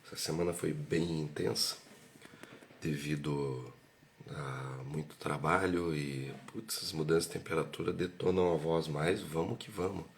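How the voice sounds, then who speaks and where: A hoarse man from Curitiba with a Brazilian Portuguese accent, co-hosting a casual live chat in a relaxed, jokey way. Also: very deep voice, a little slow, a little hiss or hum. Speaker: live show co-host.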